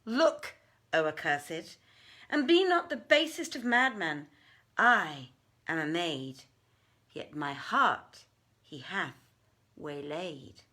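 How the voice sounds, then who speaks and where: A proud winged spirit woman, as a storyteller puts her on, commanding and declamatory in a storybook tale. Her voice is bright and forceful, swinging widely in pitch as she speaks in grand archaic phrases. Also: expressive, takes it slowly, pauses often, heavily acted. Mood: scornful. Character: proud, fierce, lovestruck female winged spirit, imperious and threatening.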